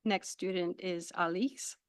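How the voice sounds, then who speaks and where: A woman's voice: lowish voice, fairly even intonation, takes it slowly, a little hiss or hum. Enthusiastic woman presenting to a board. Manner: warm, enthusiastic, informal explaining. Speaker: adult education presenter and teacher. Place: school board meeting room.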